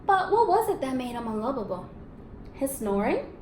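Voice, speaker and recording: A woman teaching young children in a read-aloud lesson, encouraging and expressive, asking questions and confirming answers. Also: high voice, moderate pace. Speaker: elementary school teacher. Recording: echoey room with background noise.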